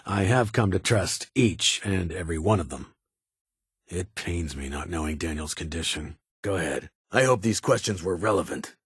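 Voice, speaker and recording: An actor playing a stern, weary security chief in a video game, with a husky voice and a formal, clipped, authoritative manner. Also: male voice, low voice, dry recording, studio quality, exaggerated voice. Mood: solemn, firm. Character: stern, weary male vault security chief, guarded but honorable.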